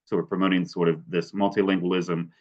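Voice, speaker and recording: A man's voice: sits low, little pitch movement, fast talker, highs cut off. A man from West Virginia giving an academic webinar talk, hedging often with fillers like "sort of" and "kind of" and restarting his sentences. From West Virginia, USA. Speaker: presenter.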